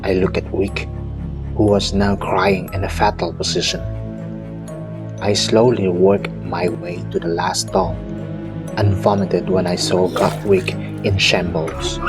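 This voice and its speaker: A man playing a traumatized survivor who narrates a horror story in a scripted audio drama. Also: low voice. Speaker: first-person narrator. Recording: music behind the voice. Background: music.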